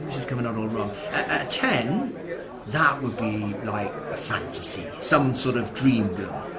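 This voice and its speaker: A man's voice: medium pitch, animated, unhurried, crowd noise, lightly acted. A man playing an awkward, plain-spoken young man in a comic radio play, incredulous and stumbling over his words. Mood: awkward, placating. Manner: hesitant, stammering, incredulous. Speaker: actor in a short comedy play. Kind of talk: comic two-hander radio drama dialogue.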